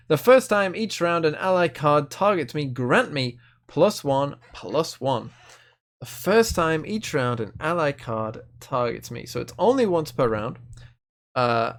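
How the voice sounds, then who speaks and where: A young man chats his way through a card review, hedging and thinking aloud as he goes. His voice sits a little high and swings widely in pitch. He sounds like a casual video host talking straight to his viewers. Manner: chatty, hedging, thinking aloud with many fillers.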